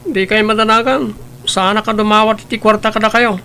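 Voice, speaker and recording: A man playing a headstrong young man in a spoken family drama, pushing his case quickly and insistently. He sounds higher than the grandfather, with a bright, gravelly edge. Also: steady tone.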